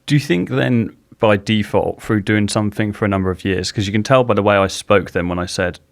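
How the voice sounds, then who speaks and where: A man's voice: on the low side, fairly quick, vocal fry. A man hosting a podcast, talking bluntly in a casual interview and swearing casually. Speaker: podcast host.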